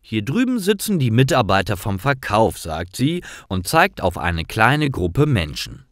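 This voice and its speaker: A man reading scripted stories for language learners as narrator, in simple, clearly phrased storytelling. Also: low-pitched, dry recording, clean studio sound.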